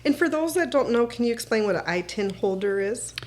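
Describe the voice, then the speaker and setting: A woman's voice: fairly high pitch, animated, fairly quick, a little mellow. A woman podcast host and realtor, asking questions in an encouraging, casual interview manner.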